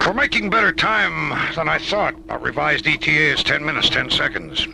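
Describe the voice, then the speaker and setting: Sci-fi radio drama pilot: wry, edgy, lively inflection, some fry. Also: male voice, a little high, moderate pace, background noise. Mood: wry, businesslike. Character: weary, wry male space shuttle pilot, defensive under pressure. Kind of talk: science fiction radio drama acting.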